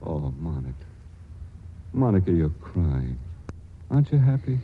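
A hesitant lovesick suitor in an old 40s radio play, stammery n earnest, very lively pitch, tinny recording. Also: male voice, unhurried, a little hiss or hum. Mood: pained, pleading. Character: earnest, awkward, lovesick scientific young suitor, hesitant and adoring. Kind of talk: 1940s radio acting. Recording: tinny, band-limited 1940s radio broadcast recording.

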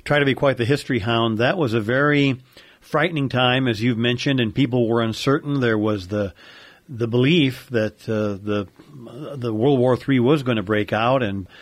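A man's voice: mid-range voice, normal speed, no echo. American guy in his 50s, mid-range voice, co-hosting a talk show, chatty, dry home studio sound. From United States. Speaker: radio show co-host. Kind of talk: conversational talk show chat.

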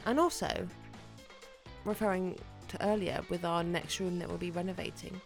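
A woman co-hosting a casual chat podcast, playful, chatty and quick to laugh. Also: on the low side, fairly even intonation, vocal fry, faint music behind. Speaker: podcast co-host.

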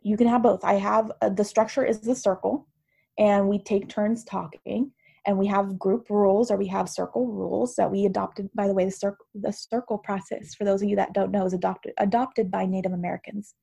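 A woman's voice: medium pitch, steady tone, brisk, gravelly, warm tone, slightly rough. A woman who is a counselor and university professor, explaining at length in a thoughtful, teacherly way during a casual podcast discussion.

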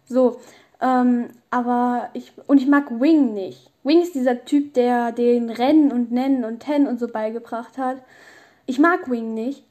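A woman's voice: high-pitched, dark tone. A young woman, hosting a casual solo podcast in a rambling, opinionated way full of youth slang. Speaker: podcast host.

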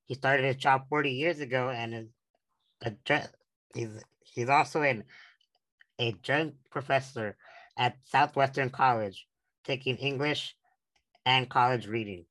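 A man's voice: medium pitch, steady tone, measured pace, pauses often, a bit bright, low-quality recording. An eighteen-year-old man from San Diego hosting an interview, reading the introduction earnestly with restarts. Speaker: podcast host.

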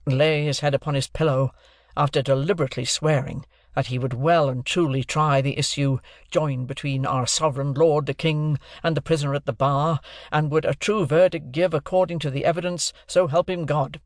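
A man voicing a bullying, sarcastic gentleman who cross-examines in a hectoring, authoritative manner for an audiobook. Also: a little high, fairly even intonation, fairly quick, no room sound, studio quality, natural voice. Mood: accusing. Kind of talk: classic novel narration, character voice.

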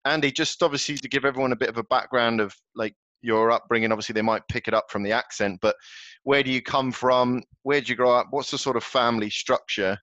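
A man's voice: medium pitch, steady tone, fast, fairly bright tone, no room sound. A man hosting a podcast, asking loose, rambling questions with plenty of fillers. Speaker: podcast host. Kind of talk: casual interview chat. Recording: dry, dull lo-fi sound.

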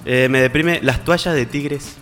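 A man's voice: medium pitch, measured pace, a bit bright, big-room sound, faint music behind. A man, hosting a casual radio show and reading listener messages with self-mocking banter. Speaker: radio host.

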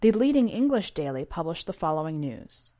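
A woman's voice: on the low side, sing-song, a little fast, warm tone. A woman hosting a fiction podcast, speaking in a scripted, read-aloud presenting and narrating style. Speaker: podcast host and story reader.

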